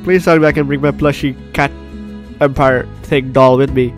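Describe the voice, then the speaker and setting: A man's voice: mid-range voice, steady tone, moderate pace. A man hosting a gaming video while getting over the flu, reading and reacting aloud in a dramatic way with repeated phrases. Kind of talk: let's play commentary, reading game text aloud. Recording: game music behind the voice.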